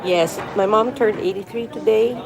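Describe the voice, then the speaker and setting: A woman's voice: medium pitch, moderate pace, creaky. A middle-aged woman from the Philippines chatting casually on a live stream from a coffee shop, rambling and reading out viewer comments. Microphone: phone on a mobile hotspot. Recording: crowded café chatter behind. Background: café crowd chatter.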